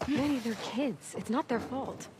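A woman's voice: a little high, measured pace, natural voice. An actress playing a blunt, compassionate young woman soldier in a video game, arguing back pointedly. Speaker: game character. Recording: game audio with noise behind.

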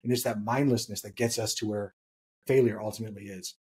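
A man in his thirties with a slightly husky voice, co-hosting a podcast and explaining points emphatically, repeating key phrases for stress. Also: on the low side, fairly even intonation, fast, a little mellow. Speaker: podcast co-host. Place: small home room, indoors.

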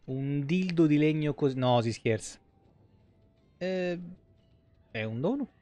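A man's voice: a little high, expressive, takes it slowly, dark tone. A man, giving casual, colloquial, joking live game commentary. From Italy. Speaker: game streamer. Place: small indoor streaming room.

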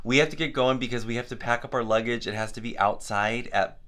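A man's voice: medium pitch, fast talker, a bit bright. A man in his thirties, losing his voice, chatting and laughing to a camera held at arm's length in a cruise ship cabin. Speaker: vlog host. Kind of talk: casual travel vlog. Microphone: handheld camera at arm's length.

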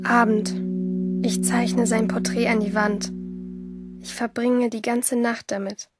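A woman's voice: a little high, flat delivery, slow pace, over music. An actress playing a shy, lovestruck teenage schoolgirl, narrating confidingly in a radio play. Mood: dreamy. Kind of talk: first-person radio drama narration.

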